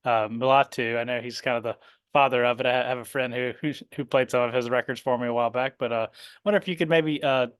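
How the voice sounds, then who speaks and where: A man's voice: medium pitch, fairly even intonation, quick pace, smooth, no echo. A male interview host who asks his questions hesitantly, with many uhs and restarts.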